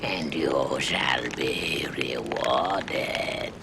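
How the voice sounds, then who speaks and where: Game role: tormented undead spirit. Bottomless gravelly voice, whispery menace, very slow archaic delivery. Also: male voice, extremely low pitch, background noise, exaggerated voice. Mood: urgent, pleading. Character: sinister hanged undead spirit, wheedling and tormented. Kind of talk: theatrical video game voice acting.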